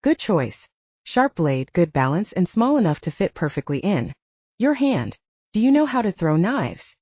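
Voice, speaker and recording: Audiobook role: confident young man, teasing and courtly, performed by a woman. Mellow tone, slightly lo-fi recording. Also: lowish voice, sing-song, unhurried, breathy edge, no echo, full character voice. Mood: teasing, assured. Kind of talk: audiobook character dialogue.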